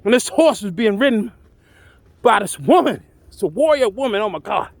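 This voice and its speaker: High-pitched man telling a colorful tale. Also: sing-song, normal speed, vocal fry, dry recording. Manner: colloquial, exclamatory, dramatic. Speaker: storyteller. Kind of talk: animated first-person tall-tale storytelling.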